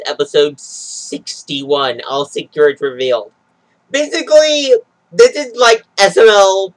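A young man comments casually as he reacts to a video. His voice sits high for a man and swings widely in pitch. He drops in sarcastic, heckling asides. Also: a bit bright, rough recording. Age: young adult. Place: small room indoors.

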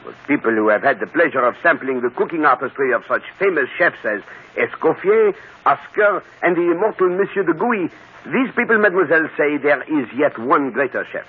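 An actor playing a courteous, boastful detective, formal and florid, in an old radio drama. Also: male voice, on the high side, lively intonation, fairly quick, slightly rough. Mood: gallant. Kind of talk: 1940s radio acting. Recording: noisy, tinny old radio broadcast.

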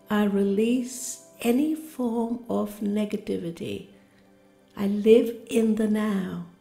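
Slow, mellow woman guiding a meditation. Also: medium pitch, creaky. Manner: calm, gentle, deliberate delivery. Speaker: guided meditation narrator. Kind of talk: scripted guided meditation, soothing affirmations. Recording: roomy reverberant voice over music. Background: music.

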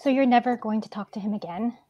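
A woman's voice: a little high, fast, no room sound, dull audio, close to an everyday voice. A young woman reading a sarcastic, exasperated twenty-year-old daughter over a video call.

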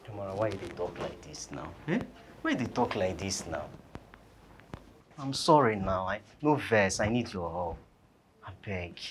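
A man's voice: deep voice, big pitch swings, a little fast, slight background noise. A man with a Nigerian accent playing a pleading, sheepish fellow in a film comedy. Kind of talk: film acting in Nigerian Pidgin.

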